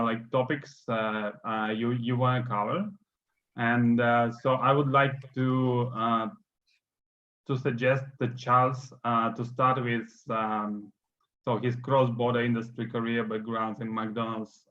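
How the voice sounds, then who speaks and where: Man moderator, mid-pitched, halting with fillers, lo-fi call sound. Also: a bit bright. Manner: hesitant, many fillers. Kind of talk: moderating an online panel. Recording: dull lo-fi video call audio.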